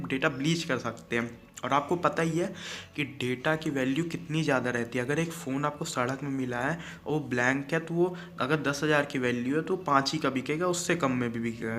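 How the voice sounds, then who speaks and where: A man's voice: mid-range voice, a bit bright. A man with an Indian accent talking casually to viewers as a vlogger, explaining things directly and mixing in English words.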